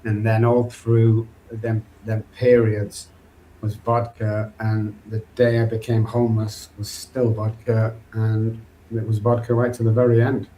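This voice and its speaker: This is a middle-aged man with a Manchester accent, speaking in a fairly low voice as a podcast guest. He tells his life story in an earnest, loose way, full of restarts, with a faint hiss of background noise behind him. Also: completely flat delivery, unhurried, dark tone, rough recording. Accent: Mancunian English. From England. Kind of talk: candid personal recovery story.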